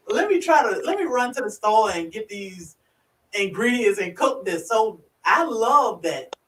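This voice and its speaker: A woman's voice: mid-range voice, slight background noise. Country-voiced Virginia woman in an echoey room. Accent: rural Virginia. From Virginia, USA. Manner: chatty, laughs often, greets viewers by name. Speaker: livestream host. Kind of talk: casual live chat. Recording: echoey room, far from the mic.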